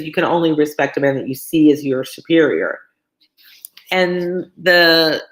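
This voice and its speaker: A woman's voice: sits low, rough recording. A woman reading a novel aloud as a tutor, breaking off for informal, chatty commentary. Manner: informal, conversational asides. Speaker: read-along host and tutor.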